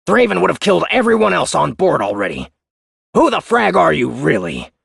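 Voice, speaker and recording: A man voicing a defiant, hostile male commander who talks loudly and with scorn. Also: on the high side, huge pitch swings, normal speed, vocal fry, husky, no echo, clean studio sound, voice pushed up, heavily acted. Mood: scornful, defiant. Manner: loud and scornful.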